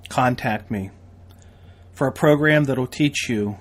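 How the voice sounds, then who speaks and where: A man's voice: medium pitch, measured pace. An American man hosting a podcast, delivering a promotional monologue as a training instructor in an emphatic, folksy way with repetition and rhetorical questions.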